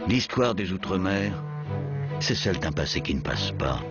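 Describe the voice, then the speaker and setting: Deep-voiced male documentary narrator. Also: very animated, moderate pace. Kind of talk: scripted narration. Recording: muffled with loud music.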